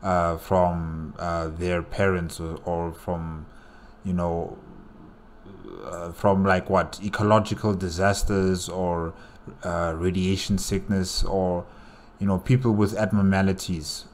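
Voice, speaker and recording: A man with a low voice hosts a casual reaction video. He speaks off the cuff in a halting way, circling back over phrases as he works out his thoughts. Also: little pitch movement, a little hiss or hum. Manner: halting, thinking aloud, repeats phrases. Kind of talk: casual unscripted reaction commentary.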